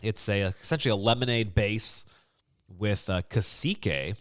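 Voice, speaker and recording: A man co-hosting a podcast, chatting casually and musing out loud as he tastes snacks, in an upbeat, chatty way. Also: on the low side, normal speed, no echo, clean studio sound. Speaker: podcast co-host. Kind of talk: casual snack-tasting chat.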